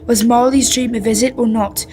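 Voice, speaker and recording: A woman playing the narrator of a radio play, reading in a measured, composed storytelling way. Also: a little high, fairly even intonation, mellow, natural voice. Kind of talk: scripted radio drama narration. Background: music.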